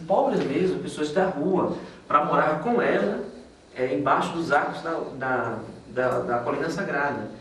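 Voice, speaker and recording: A man, a priest, reflects aloud in a halting way. His fairly high voice comes from a distance in an echoey room. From Salvador, Bahia, Brazil. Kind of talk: spontaneous reflective interview talk. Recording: echoey room, distant mic, faint noise.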